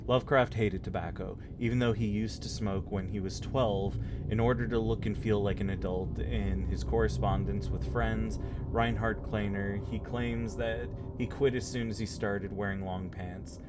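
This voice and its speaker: A man streaming a game playthrough, reading text aloud somewhat haltingly with casual asides. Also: fairly low pitch, fairly even intonation, background noise. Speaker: let's play streamer. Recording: music clearly audible behind voice. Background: game music.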